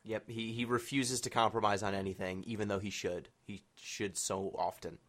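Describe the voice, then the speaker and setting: A man hosts a casual interview podcast. His voice is a little on the low side, and he speaks conversationally with his guests. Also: little pitch movement, moderate pace, a bit bright. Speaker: podcast host.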